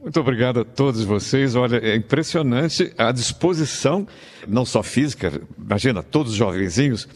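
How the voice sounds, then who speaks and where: A man's voice: lowish voice, darkish tone, in a large hall. A man with a Brazilian accent presenting classical music as a radio host.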